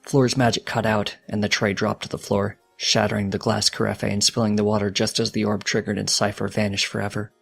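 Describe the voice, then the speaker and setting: A man reading fiction aloud as a dramatic, solemn narrator with a deliberate delivery. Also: on the low side, level tone, creaky, fairly bright tone, slightly rough, soft background music. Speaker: fanfiction narrator.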